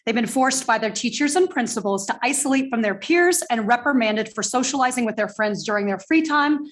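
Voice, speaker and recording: A woman giving a firm, pointed public comment as a parent at a school board meeting, speaking loudly into a podium microphone. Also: a little high, animated, fairly quick. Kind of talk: reading a prepared public statement.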